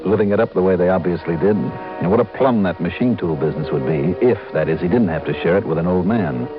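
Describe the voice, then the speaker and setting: Male actor as shrewd American private investigator: low voice, clipped and wry, golden-age radio sound, muffled, music underneath. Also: quick pace, lightly acted. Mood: wry, musing. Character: brisk, shrewd insurance investigator telling his own case. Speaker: lead actor and narrator. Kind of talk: mid-century American radio detective acting, first-person narration. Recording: muffled vintage radio recording, organ music.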